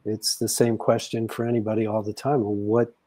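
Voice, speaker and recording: Measured man with a mellow, dark voice. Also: fairly low pitch, level tone. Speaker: grief counselor. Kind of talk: guiding counseling conversation.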